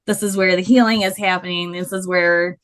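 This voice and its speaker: Loud animated woman with a low-set voice. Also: fairly quick. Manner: animated, laughs often. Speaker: guest, body painter. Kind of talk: casual storytelling interview.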